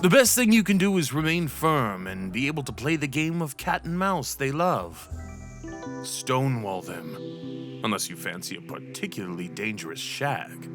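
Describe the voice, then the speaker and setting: A man's voice: medium pitch, very animated, moderate pace, music in the background. A man playing a stern, wry, pompous male professor in a fantasy audio roleplay, lecturing in a haughty, theatrical way. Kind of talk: ASMR roleplay acting.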